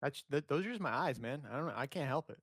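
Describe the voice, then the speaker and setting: A man's voice: mid-range voice, lively intonation, quick pace, no room sound, studio quality. A man co-hosting a podcast in casual chat, laid-back, with short quips and agreements. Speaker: podcast co-host.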